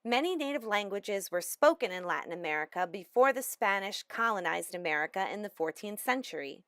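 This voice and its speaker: A woman giving a measured, explanatory lecture narration as a language instructor. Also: mid-range voice, expressive, a bit bright, clear, resonant tone, dry recording, studio quality.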